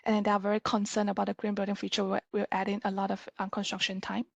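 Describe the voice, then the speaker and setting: Malaysian woman lecturing casually, slightly creaky voice. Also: mid-range voice, monotone, racing, no room sound. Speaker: guest lecturer, sustainability consultant.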